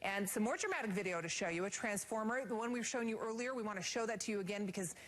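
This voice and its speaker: A woman's voice: medium pitch, quick pace. A woman presenting live breaking news on TV, commenting on video in a partly ad-libbed way, on a noisy broadcast recording.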